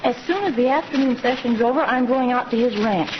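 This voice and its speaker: Woman acting Mary, a high-voiced, earnest schoolteacher. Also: a little fast, close to an everyday voice. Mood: remorseful. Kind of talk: old-time radio western acting. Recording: muffled, noisy old radio recording.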